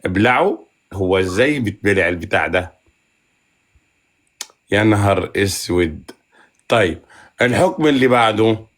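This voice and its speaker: A man's voice: on the low side, lively intonation, normal speed, pauses often, fairly bright tone. A man hosting a casual live chat in banter, teasing, bargaining and often repeating himself. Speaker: live stream host.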